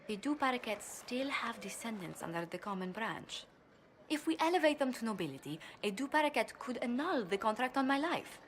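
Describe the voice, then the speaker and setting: A refined, dutiful noblewoman diplomat for a fantasy game. Her delivery is earnest and exact, formal even when anxious. The sound has long hall reverb and some noise behind it. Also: on the high side, brisk. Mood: grave, composed. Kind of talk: dramatic video game cutscene acting.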